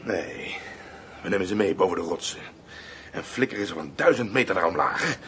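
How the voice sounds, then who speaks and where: Casting: a coarse, mocking crime-gang henchman for radio drama, lowish voice, cold threats, slightly noisy recording. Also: lively intonation, fairly quick, fairly bright tone. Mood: cold, taunting. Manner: taunting, threatening.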